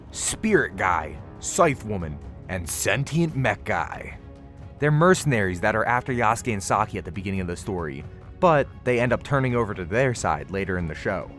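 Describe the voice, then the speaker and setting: Guy doing a snarky casual review voiceover, really lively pitch swings, music playing underneath. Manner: informal, sarcastic, opinionated, swears casually. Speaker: video reviewer and host. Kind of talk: semi-scripted video essay commentary, casual. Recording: music behind the voice. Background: background music.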